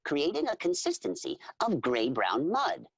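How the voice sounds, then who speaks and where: A woman performing scripted, dramatic narration for a superhero audio drama, in a husky voice. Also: deep voice, huge pitch swings, measured pace, dry recording, dull audio, heavily acted. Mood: measured, vivid.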